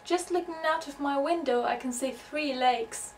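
A young woman from Finland with a Finnish accent, delivering a scripted comedy monologue in a measured, deadpan way. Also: high voice. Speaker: video blogger. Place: small indoor room at home with bookshelves. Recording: faint noise and hum, distant mic.

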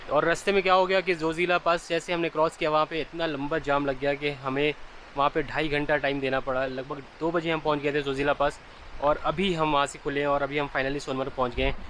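A man in his thirties vlogging outdoors in the mountains, holding the camera at arm's length. He talks to camera in a chatty, explanatory way, and his voice sits a little high for a man. Faint outdoor noise sits under his voice. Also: fairly bright tone, rough recording. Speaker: travel vlogger. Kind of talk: casual travel vlog narration. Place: outdoors in mountain valleys and a memorial park. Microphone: handheld camera at arm's length.

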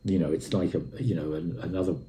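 Male reviewer, 60s. Low, soft-edged mellow voice, emphatic off-the-cuff enthusiasm, recorded at home. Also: quick pace. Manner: effusive, emphatic, repeats phrases. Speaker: audio equipment and music reviewer. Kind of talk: unscripted product review to camera. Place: room at home, indoors. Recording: roomy, echoey indoor sound.

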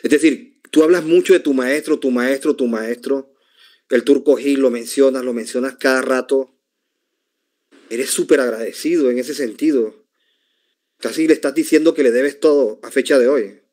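A man's voice: medium pitch, moderate pace, a little mellow. A man hosts an interview and keeps pressing his guest with follow-up questions. He often sums up the guest's answers in his own words. His voice is picked up by a large studio microphone in a home studio. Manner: probing, rephrasing the guest's answers. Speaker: interview host. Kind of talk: conversational interview.

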